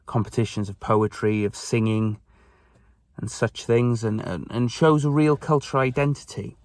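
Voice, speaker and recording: Welsh guy in his 20s, welsh is his first language, sat in his parked car, earnest and thoughtful, mid pitch, dead dry sound. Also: no room sound. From Wales. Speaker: church youth member sharing faith. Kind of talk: personal faith talk to camera.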